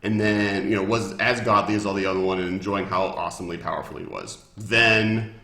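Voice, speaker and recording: A man's voice: deep voice, fairly even intonation, a little fast, bright, forceful tone. A man chatting casually as a guest commentator, explaining fantasy card game lore in a rambling, enthusiastic fan's way. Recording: roomy, echoey sound.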